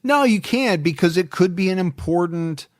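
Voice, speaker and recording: A man's voice: sits high, expressive, normal speed, dry recording, studio quality. A man hosting a true-crime podcast in casual, earnest chat, often repeating words as he stresses a point. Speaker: podcast host.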